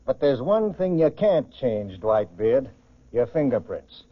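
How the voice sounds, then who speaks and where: A man's voice: medium pitch, big pitch swings, takes it slowly. An actor playing a stern, relentless lawman with an authoritative manner in a 1940s radio crime drama. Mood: stern, accusing. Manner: hard, authoritative. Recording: muffled 1940s radio broadcast recording.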